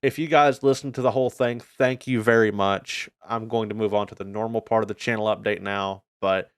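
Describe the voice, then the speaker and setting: Clear-voiced North American man, casual vlogger. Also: lowish voice, steady tone, fairly quick, dry recording, studio quality. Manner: informal, rambling, earnest. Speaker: gaming YouTuber and streamer. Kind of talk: casual channel update vlog.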